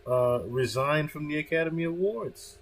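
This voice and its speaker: A man's voice: a little high, moderate pace, slight background noise. A man commenting on a video in a casual, emphatic way, repeating himself for stress and swearing freely.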